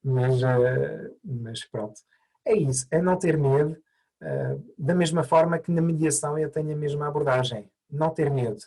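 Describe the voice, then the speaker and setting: A man's voice: a little high, normal speed, a little mellow, breathy edge. A man who learned Portuguese as an adult, by birth, answering interview questions thoughtfully with many hesitations and restarts. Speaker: mediator, interview guest.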